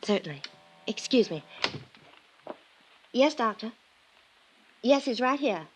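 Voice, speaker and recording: An actress playing a brisk, courteous, unyielding night hospital receptionist in a 1950s radio drama. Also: female voice, fairly high pitch, animated, slight background noise, poor audio. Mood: businesslike. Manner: clipped, courteous, routine.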